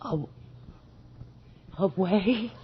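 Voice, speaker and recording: An actress playing an obsessed, ruthless widowed noblewoman in a gothic horror radio drama, theatrical and commanding, with a husky voice. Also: mid-range voice, very slow, a little hiss or hum. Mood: imperious. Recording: dull vintage radio drama recording.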